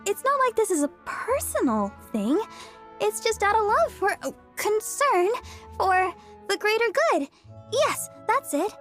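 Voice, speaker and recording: A woman voicing a kind young healer who gets flustered and stammers, for a game. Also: very high-pitched. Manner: stammers and talks around things when caught out. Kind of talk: game voice acting.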